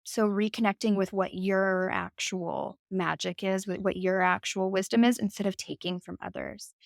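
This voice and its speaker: A woman's voice: medium pitch, fairly even intonation, moderate pace, gravelly, no room sound, studio quality. A woman speaking as a podcast guest, talking in a reflective way with lots of "like" and "right?". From United States. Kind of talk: conversational interview.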